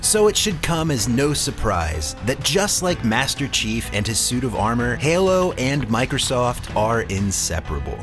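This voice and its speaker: A man's voice: mid-range voice, very animated, unhurried, hardly any pauses. A man narrating a scripted documentary as host, with a dramatic storyteller delivery. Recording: music bed under voice. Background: background music.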